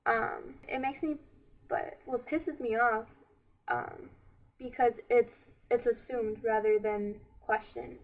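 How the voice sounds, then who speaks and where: A young woman answering an interview in a quick, irritated, outspoken way. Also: on the high side, fairly even intonation, distant mic, narrow, tinny audio.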